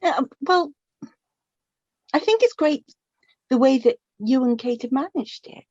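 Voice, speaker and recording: A woman's voice: high-pitched, expressive, darkish tone, dry recording. An actress playing a chatty, affectionate middle-aged mother of the bride, slightly flustered and hesitant, in a radio play. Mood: gently probing. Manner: hesitant, halting, chatty, with small nervous repetitions. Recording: dull lo-fi, slightly muffled recording.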